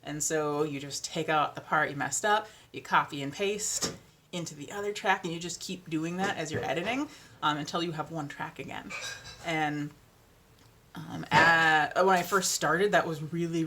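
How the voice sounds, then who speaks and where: A young woman with a deeper voice, chatting casually and self-deprecatingly about narrating audiobooks, full of ums and little laughs. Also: moderate pace, a bit bright, a little hiss or hum. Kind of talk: casual chat.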